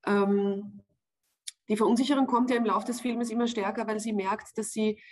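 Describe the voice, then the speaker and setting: A woman in her forties with an Austrian German accent, answering interview questions at home. She speaks in a measured, reflective way, often pausing with fillers as she weighs her words. The sound is from a webcam on a video call. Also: medium pitch, steady tone. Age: 40s. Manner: thoughtful, searching for words, frequent fillers. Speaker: actress being interviewed. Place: home room with wood panelling, indoors.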